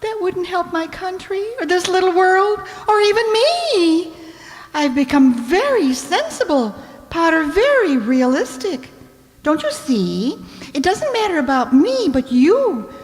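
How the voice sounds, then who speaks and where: Woman playing an impassioned, high-voiced captive heroine. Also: big pitch swings, unhurried, full character voice. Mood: urgent, tender. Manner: impassioned, theatrical declaiming. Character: defiant, devoted young heroine held captive. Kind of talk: melodramatic radio play acting. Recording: echoing hall reverb.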